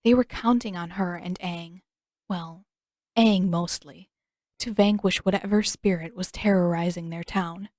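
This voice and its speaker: A woman's voice: lowish voice, fairly even intonation, unhurried, darkish tone, no echo, studio quality. A woman reading a story as scripted audiobook narration, in the manner of an amateur narrator.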